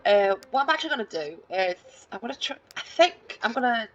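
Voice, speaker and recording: A woman's voice: fairly high pitch, big pitch swings, a little fast, muffled recording. A woman casually narrating her life-sim gameplay, rambling with many fillers and restarts, and poking fun at herself. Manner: self-deprecating, lightly laughing. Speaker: let's play gamer.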